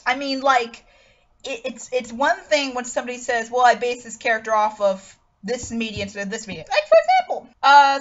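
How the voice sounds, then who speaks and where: High-voiced woman, emphatic, lo-fi recording. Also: a bit bright. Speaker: host reading a character review aloud, then commenting. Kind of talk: dramatic reading and casual opinionated commentary.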